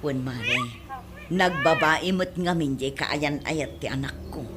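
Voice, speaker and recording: A woman's voice: low-pitched, takes it slowly. A woman playing an aggrieved middle-aged mother of a jilted bride in a comedy radio drama, speaking with an Ilocano accent. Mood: subdued, regretful. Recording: music under the voice.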